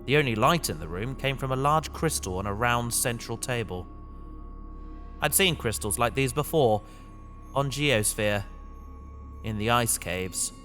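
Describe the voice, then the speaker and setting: A man playing a reflective space adventurer, narrating his own story in a measured, descriptive way for an audio drama. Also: mid-range voice, expressive, fairly bright tone. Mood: hushed wonder. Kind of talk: scripted first-person audio drama narration. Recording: music behind the voice.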